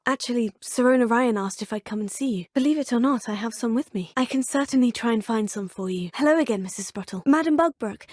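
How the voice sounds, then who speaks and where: A courteous young schoolgirl witch, voiced by an actress in a fantasy video game, calling her teachers sir and professor. Her voice sits a little high and comes across slightly breathy. It is recorded close and dry, with no room sound. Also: quick pace, hardly any pauses, a little mellow, no echo, close to an everyday voice. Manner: polite and formal, addressing teachers as sir and professor. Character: polite young female Hogwarts fifth-year student witch. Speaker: player character. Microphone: close studio mic.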